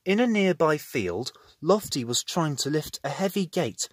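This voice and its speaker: Male storybook narrator: unhurried, highly animated intonation, dry and close. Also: slightly rough, no room sound. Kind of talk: expressive children's story reading.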